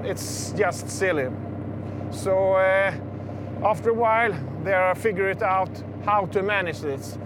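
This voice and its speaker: Slow-talking Swedish fisherman, higher-pitched. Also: background noise. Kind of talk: informal interview. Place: outdoors on a fishing boat at sea. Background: loud music, boat at sea.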